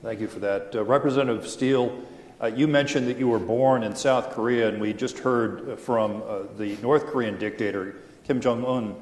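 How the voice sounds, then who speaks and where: A man moderating a panel, asking measured, formal questions. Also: mid-range voice, steady tone, a little mellow. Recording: echoey hall with long reverb.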